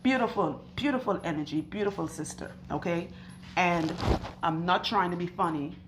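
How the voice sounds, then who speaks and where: A woman loctician with a husky, hoarse voice, talking slowly and earnestly to camera while interviewing a client in her salon. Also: fairly low pitch, background noise. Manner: earnest, chatty, asks questions and recounts stories. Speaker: loctician and channel host. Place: hair salon.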